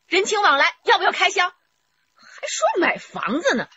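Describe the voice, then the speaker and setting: A fiery, fed-up middle-aged wife in a radio crime drama. Her voice is extremely high, piercing and animated as she nags and scolds. The recording is a little dull. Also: measured pace, no room sound, full character voice. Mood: nagging, exasperated. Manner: shrill, nagging, emotional.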